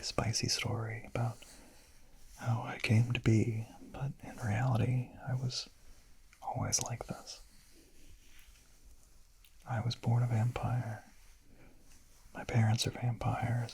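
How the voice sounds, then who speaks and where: A man playing a shy, gentle young vampire in a whispered ASMR roleplay, murmuring softly, hesitantly and intimately in a breathy voice. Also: mid-range voice, low-quality recording. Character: shy, gentle young male vampire, smitten misfit confessing.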